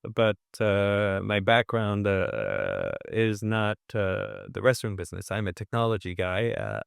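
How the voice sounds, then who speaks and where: A man who grew up in France, with French as his first language, talking casually as a guest about his business, measured and full of fillers. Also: fairly low pitch, expressive, vocal fry, clean tone, no echo, clean studio sound. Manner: measured, many fillers and restarts. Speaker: guest, restaurant owner. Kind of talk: casual interview chat.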